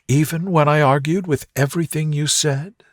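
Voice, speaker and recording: A man voicing a tender, thoughtful young gentlewoman in love, murmuring softly for a romantic bedtime story. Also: fairly high pitch, steady tone, moderate pace, no room sound, studio quality, voice pushed up, close to an everyday voice. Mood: teasing.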